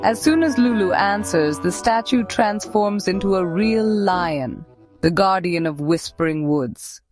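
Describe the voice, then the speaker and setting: A woman's voice: medium pitch, a little slow, darkish tone, faint music behind. A woman narrator hosting a children's story channel, reading a scripted tale for young listeners.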